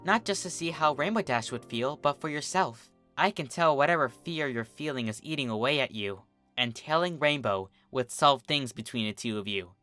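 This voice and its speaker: A narrator voicing a bookish, reassuring young female pony with a gentle, earnest, articulate manner, in a cartoon fan story. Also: medium pitch, lively intonation, normal speed, faint music behind, lightly acted.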